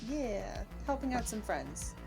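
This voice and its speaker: A woman's voice: a little high, very animated, slow, creaky, over music, rough recording. A woman game master chatting casually on a tabletop game stream.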